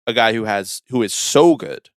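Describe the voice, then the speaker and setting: A man's voice: lowish voice, normal speed, no room sound, clean studio sound. A young man co-hosting a casual sports podcast, giving his analysis in an opinionated, emphatic way. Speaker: podcast co-host.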